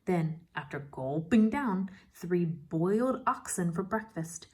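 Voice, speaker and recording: A woman teacher reading a children's story aloud expressively, pausing to ask questions and explain. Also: lowish voice, expressive, a little mellow, breathy edge. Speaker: first grade teacher.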